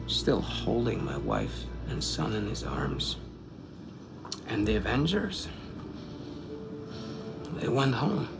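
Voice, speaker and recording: An actor playing a brooding, vengeful film villain who talks in a hushed, measured, deliberate way. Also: male voice, on the low side, gravelly, exaggerated voice. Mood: grieving, bitter. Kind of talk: quiet film monologue.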